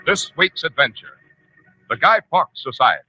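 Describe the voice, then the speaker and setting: A man's voice: fairly high pitch, sing-song, normal speed, sharp tone, soft background music. An American man announcing in 1940s radio style, with a polished, theatrical sales delivery. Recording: dull, husky-sounding vintage radio broadcast.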